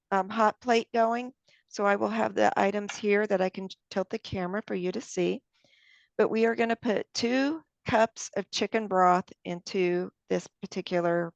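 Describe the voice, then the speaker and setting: Gravelly woman teaching a cooking class. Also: mid-range voice, dry recording. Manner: friendly, explains each step aloud while working. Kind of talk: step-by-step cooking demonstration. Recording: dull lo-fi sound.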